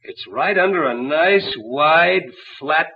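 A man's voice: a little high, expressive, slow, creaky, breathy edge, full character voice. An actor playing a brash, wry, slangy tough-guy guerrilla sidekick in 1940s radio adventure style. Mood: emphatic, explaining. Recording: muffled, hissy old radio broadcast.

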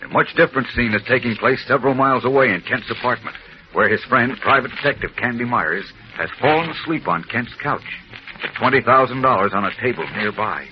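A man's voice: medium pitch, fairly quick. A man narrating a 1940s radio adventure serial in a dramatic, urgent way. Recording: dull lo-fi old radio recording with faint music.

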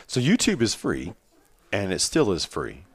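A man's voice: on the low side, wildly swooping pitch, a little slow, husky. A man in his forties, a podcast guest, chatting casually in a rambling, teasing, self-mocking way. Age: 40s. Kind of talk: casual chat.

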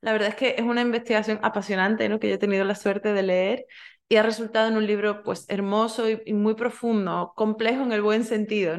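Hesitant woman podcast host. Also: medium pitch. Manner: hesitant, frequent tag questions and restarts. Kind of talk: interview hosting, reading a guest introduction.

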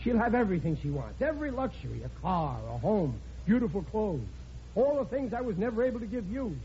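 A stubborn, blustering middle-aged American father in a vintage radio sitcom. His voice is high and swoops emphatically, with rough working-class diction. The old recording is muffled and noisy. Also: big pitch swings, brisk, mellow. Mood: stubborn, defensive. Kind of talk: 1940s radio sitcom acting.

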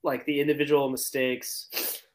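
A man's voice: medium pitch, little pitch movement, unhurried. A man speaking as a panelist in a casual sports podcast discussion.

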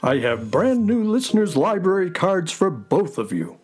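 A man voicing a kindly, excitable, helpful space horse in a children's audio story, with folksy, theatrical emphasis. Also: sits high, normal speed, soft background music. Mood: excited. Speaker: voice actor.

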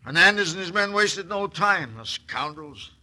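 An actor playing a stern, indignant cavalry major with formal military authority in an old-time radio western. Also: male voice, high voice, lively intonation, normal speed, sharp tone, heavily acted. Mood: grim, indignant. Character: stern, indignant United States Army major.

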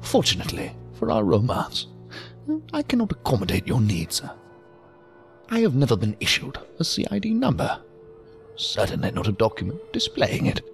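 Weird polite old alley philosopher, croaky voice, super swoopy and formal, audio drama w/ faint music. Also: male voice, on the low side, huge pitch swings, normal speed, full character voice. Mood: coy, mysterious. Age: older. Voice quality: creaky, gravelly, breathy at times. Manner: formal, ornate, deferential, theatrically sing-song. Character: odd, courteous, philosophical old man lurking in an alley.

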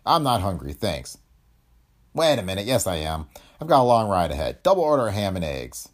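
A gruff, decisive film boss from a space adventure, acted by a male reader. He is brusque and slangy, with a growl over a soft heart, and his delivery is lively and varied. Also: sits low, fairly quick.

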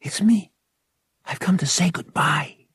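A man voicing a tough teenage boy pilot who is nervous underneath, hushed, in an audiobook. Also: sits high, unhurried, slightly rough, dry recording, studio quality, pitched above the performer's own voice, full character voice. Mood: soft, fond. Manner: hushed, urging himself on.

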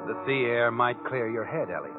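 An actor playing an omniscient, taunting narrator of crime tales in vintage radio drama style, dramatic and knowing. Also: male voice, medium pitch, expressive, moderate pace, natural voice. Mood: ominous narrating. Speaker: host and narrator. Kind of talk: 1940s-50s radio drama narration in second person. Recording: tinny band-limited vintage radio recording. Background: dramatic music.

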